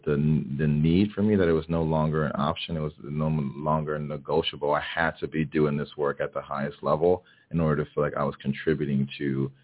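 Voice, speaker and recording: Very deep-voiced man on a phone line. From Miami, Florida, US. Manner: earnest, with frequent restarts. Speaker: guest, DEI strategist. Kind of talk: conversational radio interview.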